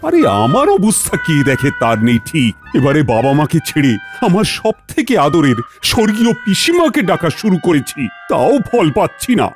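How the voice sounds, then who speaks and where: A man's voice: fairly high pitch, very animated, moderate pace, over music. A man voicing a fretful, cowardly, self-pitying king in a folktale cartoon, peevish and theatrical.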